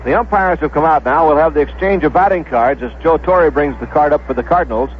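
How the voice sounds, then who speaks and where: A man with an American accent and a slightly high voice announces baseball in a measured 1970s radio style. He repeats names so they are clear. The broadcast sounds dull and lo-fi. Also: a little hiss or hum. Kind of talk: 1970s radio sports commentary, pregame lineups. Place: stadium broadcast booth.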